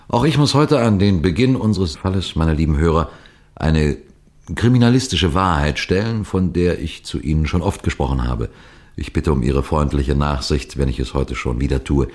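An actor playing a seasoned, courteous detective superintendent who tells his case deliberately and instructively in the style of a 1960s German radio play. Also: a bass voice, a little mellow. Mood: measured, didactic. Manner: deliberate, didactic, addressing listeners formally.

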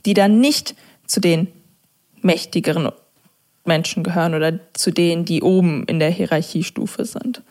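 A woman's voice: fairly low pitch, moderate pace, a little mellow. A young woman in a casual discussion, explaining her view in a reasoned, conversational way.

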